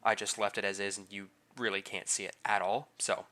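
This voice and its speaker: A fairly low-voiced man talks through his hobby build in an easy, rambling way, full of fillers and restarts. His tone is bright and forceful, and a lingering head cold leaves him a bit nasal and stuffy. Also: level tone, a little fast. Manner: loose and chatty, with many fillers and restarts. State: getting over a head cold, slightly congested. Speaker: scale model builder and channel host. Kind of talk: casual voice-over narration of a model build.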